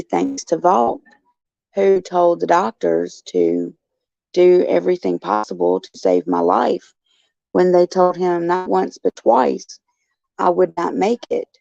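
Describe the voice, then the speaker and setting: A woman with a low, heavily gravelly and raspy voice chats folksily with her viewers. She calls them by name as she talks. The recording is dull, lo-fi and dry. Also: a little mellow, dry recording. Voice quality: raspy, gruff. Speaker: show host. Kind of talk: casual chat, answering viewers. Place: indoors in a care facility.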